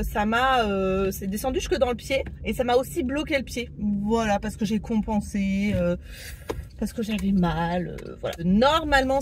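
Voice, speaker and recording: A woman's voice: a little high, slight background noise. A woman vlogging casually to camera, chatty and rambling with many fillers, stuffy with a cold. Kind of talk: casual vlog chat to camera.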